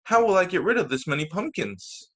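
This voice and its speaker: A stubborn older woman from a children's storybook, acted out by a male reader. The lines come out as emphatic, exasperated declarations, often raised above the reader's usual pitch. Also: very animated, brisk, a bit bright, full character voice. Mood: dismayed.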